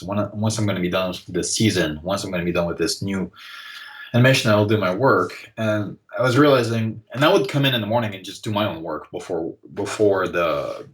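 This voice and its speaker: Low-voiced guy in his 40s, chill podcast guest, lots of likes and ums. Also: poor audio. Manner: relaxed, rambling, many fillers. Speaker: guest artist. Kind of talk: casual podcast interview.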